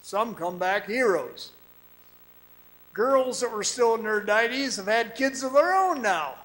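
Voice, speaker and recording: A man playing a haunted, guarded miner in a radio drama, telling his ordeal in a folksy, halting way. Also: high-pitched, animated, fairly bright tone, poor audio. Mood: haunted, brooding. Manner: folksy, deliberate, halting storytelling.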